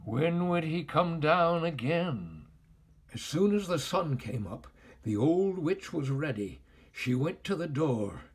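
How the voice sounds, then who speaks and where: An older man reading a children's story aloud as a storyteller, with theatrical, expressive and deliberate phrasing. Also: fairly high pitch, breathy edge.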